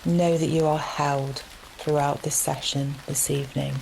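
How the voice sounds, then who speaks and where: A woman leading a guided relaxation meditation in a gentle, soothing manner, leaving long unhurried pauses between phrases. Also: low-pitched, creaky, background noise. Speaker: yoga nidra meditation guide. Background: steady rain sounds.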